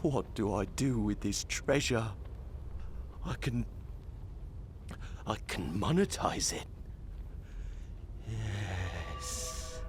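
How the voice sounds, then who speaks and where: Obsessed unraveling researcher, game audio log, very slow, halting, lowish, whispery, noisy tape. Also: male voice, fairly bright tone. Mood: secretive, paranoid. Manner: halting, breathy, drifting into whispers. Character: obsessed, unraveling male researcher recording secret tapes. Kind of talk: dramatic monologue as recorded audio log.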